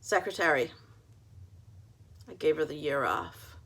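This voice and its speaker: Woman reading a blunt heavyset middle-aged man, demanding, low voice, husky. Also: expressive, unhurried, a bit bright, exaggerated voice. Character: brusque heavyset middle-aged male client.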